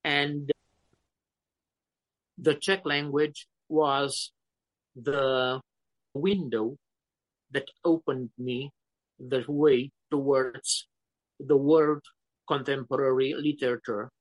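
A man in his fifties with a Romanian accent, answering interview questions slowly and haltingly, often pausing to search for words. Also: mid-range voice, steady tone, no room sound, rough recording. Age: 50s. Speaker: poet guest.

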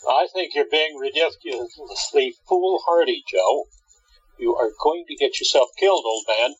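A man playing a concerned, blunt friend and councillor in a radio play, chiding earnestly in a husky voice. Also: medium pitch, unhurried, no echo, highs cut off.

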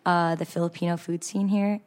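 A woman's voice: fairly low pitch, level tone, a little fast, a little mellow. A young adult woman chef from San Jose, chatting casually as a podcast guest, laughing often and trailing off mid-sentence. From San Jose, California. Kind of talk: casual chat.

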